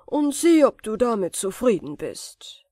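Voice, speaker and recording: Woman as powerful male genie servant, storybook fairy tale, dramatic, commanding, high-set lively delivery. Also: unhurried, no room sound, studio quality. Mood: proud, eager. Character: powerful obedient male genie serving his master.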